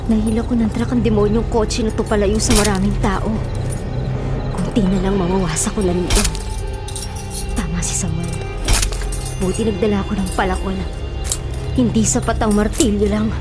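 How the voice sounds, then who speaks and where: Dramatic music runs under a woman performing as a high-voiced Filipino radio heroine. She is distraught yet defiant, melodramatic and intense as she fights for her friends. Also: natural voice.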